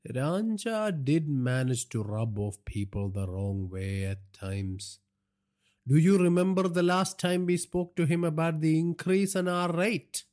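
A man voicing a hot-tempered older Indian crime boss father in an audiobook, gruff, coarse and domineering. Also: a little high, lively intonation, measured pace, darkish tone, voice pushed up. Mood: scornful, irritated. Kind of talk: audiobook character dialogue.

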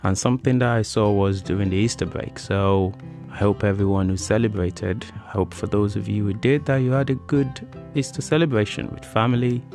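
A man's voice: on the low side, brisk, a little mellow, faint music behind. A man hosting a podcast in an informal, chatty, conversational way. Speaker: podcast host.

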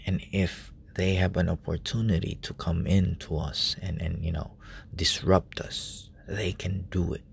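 A man preaching softly at night in a relative's room, speaking in a hushed, halting way with many fillers. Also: low-pitched, little pitch movement, gravelly, slight background noise. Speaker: lay preacher. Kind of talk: informal late-night sermon.

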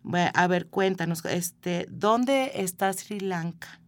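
A woman's voice: lowish voice, slow pace, no echo. Mexican lady radio host, chatty and friendly, dry studio sound. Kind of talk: radio interview.

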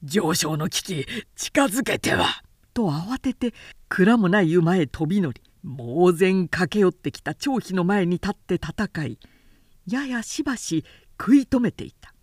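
A woman narrator reads literature in a dramatic audiobook style. The delivery is very slow, deliberate and expressive, with precise diction. The recording is dry. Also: on the low side, no room sound.

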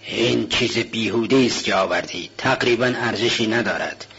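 A man with a husky voice playing a greedy, stern old widow who works as a pawnbroker in a storybook reading, curt and haggling, on a dull lo-fi recording. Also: on the low side, a bit bright, full character voice. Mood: reproachful. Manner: curt and haggling, dry and stingy.

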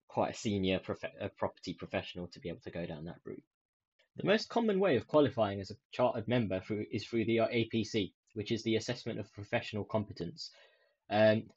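A young man from the UK hosting his own podcast in a soft, soothing voice, rambling with many ums and restarts. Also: low-pitched, slightly rough. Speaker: podcast host. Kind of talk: casual solo podcast chat. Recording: dull lo-fi sound.

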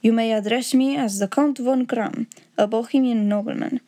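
A woman's voice: a little high, moderate pace, warm tone, natural voice. A woman voicing a proud, agitated male aristocrat in an audiobook story, speaking in a formal, pompous, grave way. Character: huge proud Bohemian nobleman, secretly a king, agitated, formal.

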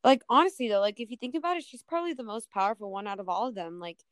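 A woman's voice: on the high side, very animated, rapid-fire, no echo, clean studio sound. A young woman co-hosting a podcast, chatting informally about television and hedging as she goes. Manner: informal, conversational, hedging with "like" and "honestly". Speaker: podcast co-host. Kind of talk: casual TV discussion.

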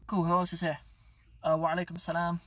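A young man chats loosely to his viewers, joking and correcting himself mid-sentence. His voice sits a little high and slightly husky. It is recorded from a bit away from the mic, dry inside a car. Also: monotone, quick pace, no room sound, recorded from a distance. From England. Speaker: YouTube channel host. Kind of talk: casual live-stream chat.

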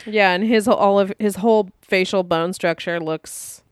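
A woman co-hosting a casual podcast chat, lively and quick to laugh and chime in. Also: medium pitch, clear, resonant tone, no echo, clean studio sound. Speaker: podcast co-host.

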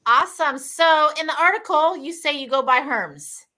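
A woman's voice: high-pitched, animated, normal speed, pushed and bright. A woman hosting a casual interview, enthusiastic and chatty, with many fillers and restarts. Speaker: host.

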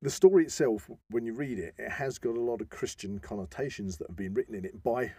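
A man hosting an informal discussion, explaining with halting enthusiasm and emphatic stresses. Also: medium pitch, dark tone, breathy edge, dry recording, studio quality. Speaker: podcast host.

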